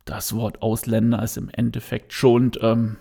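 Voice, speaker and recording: A man's voice: lowish voice, flat delivery, a little slow, vocal fry, dark tone, breathy edge. A man hosting a podcast, giving his opinion unscripted and speaking straight to his listeners. He hesitates a lot, with many fillers and restarts, but stays earnest. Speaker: podcast host.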